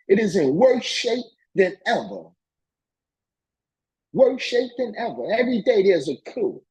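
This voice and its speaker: Raspy, high-pitched man lecturing emphatically. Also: darkish tone, low-quality recording. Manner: emphatic, repeats phrases for emphasis, colloquial. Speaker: political education lecturer. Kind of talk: impassioned political lecture, reading and commenting on a text.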